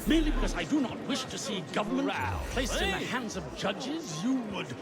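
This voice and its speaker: A man's voice: very high-pitched, sing-song, a little fast, loud music behind the voice, exaggerated voice. A man with an English accent playing an indignant, pompous Victorian politician in a video game, speaking like an orator. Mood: outraged. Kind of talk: video game cutscene acting.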